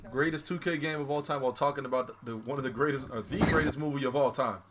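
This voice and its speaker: A man's voice: medium pitch, fast. Slangy young man with noisy background. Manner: slangy, swearing, excitable. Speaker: gamer reviewing a film with a friend. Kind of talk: casual chat while gaming. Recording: noisy, clicks and game sounds. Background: basketball game sounds, clicks.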